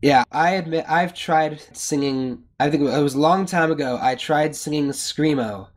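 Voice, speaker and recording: A man's voice: on the high side, moderate pace. A man guesting on a casual podcast, chatty and self-deprecating as he talks about singing. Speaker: guest vocalist.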